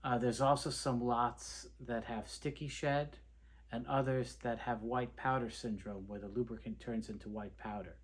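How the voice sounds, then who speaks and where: A man presenting an informal, unscripted product showcase, explaining things in a halting, hesitant way with repeated words. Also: medium pitch, steady tone, husky. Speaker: presenter of reel tape review videos.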